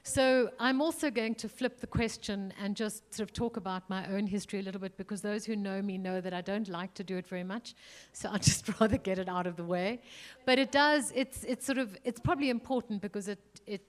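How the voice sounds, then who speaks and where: A woman's voice: mid-range voice, fairly quick. A middle-aged woman from South Africa with a South African accent, speaking earnestly at a panel, with frequent ums and restarts. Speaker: museum CEO, panelist. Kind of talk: panel remarks. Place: event hall.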